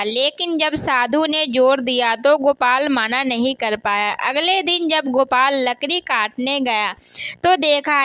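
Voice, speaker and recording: A woman's voice: sits high, pushed and bright, no echo. A woman narrating a scripted children's moral story in a simple read-aloud way, with occasional stumbles.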